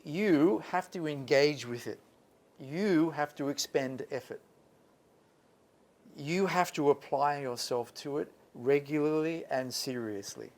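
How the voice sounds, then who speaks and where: Emphatic man lecturing a workshop. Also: medium pitch. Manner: emphatic, repeats points for stress. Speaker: English lecturer giving a workshop. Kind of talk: live workshop talk to students. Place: lecture room.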